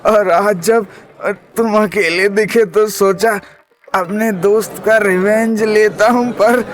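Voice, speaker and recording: A man playing a cowardly, pleading street goon who talks haltingly in a comic audio drama. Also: sits high, fairly even intonation. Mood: pleading, sheepish. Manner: halting, stammering.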